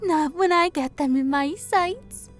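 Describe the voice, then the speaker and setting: A voice actress plays a playful female military scout with a predatory streak. Her voice sits fairly high and she takes her time over each word, in an audiobook style. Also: unhurried, faint music behind. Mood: smug, flirty. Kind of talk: audiobook character acting.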